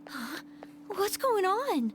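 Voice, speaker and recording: A woman playing an expressive, earnest teenage girl sleuth in an anime-style video game. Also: very high voice, very slow, a little hiss or hum, heavily acted. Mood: confused.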